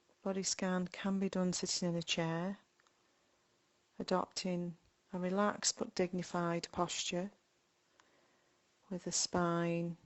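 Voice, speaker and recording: A woman guiding a meditation in a gentle, unhurried manner, speaking in short phrases with long pauses between them. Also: on the low side. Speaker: meditation guide. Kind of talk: guided body scan meditation.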